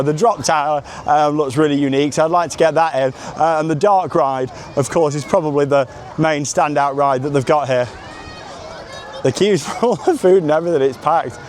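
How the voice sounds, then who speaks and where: A man with a slightly high-pitched voice talks casually to his vlog audience. He is chatty and enthusiastic, rambling on as he commentates on what is around him. The recording is made outdoors, with faint background noise behind his voice. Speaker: theme park vlogger and host. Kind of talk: casual vlog narration to camera. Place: outdoors at a theme park, walking around.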